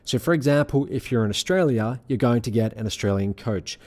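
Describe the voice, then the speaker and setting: A man reading a scripted sales pitch as presenter, in an earnest, measured, persuasive manner. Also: expressive, darkish tone. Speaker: presenter of an online money-making sales pitch.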